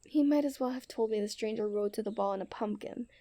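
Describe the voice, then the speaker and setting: A young woman playing a coy, refined and tender princess in a romantic ASMR roleplay, speaking in playful storybook diction that often softens to a near-whisper. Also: mid-range voice, quick pace, no echo, lightly acted. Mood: playful, knowing. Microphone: close studio-style microphone.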